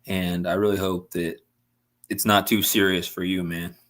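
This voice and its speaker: A man's voice: low-pitched, flat delivery, normal speed, a bit bright. A young man hosting a casual fishing livestream, chatting and answering viewers' messages, his voice a little worn from talking. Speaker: livestream host.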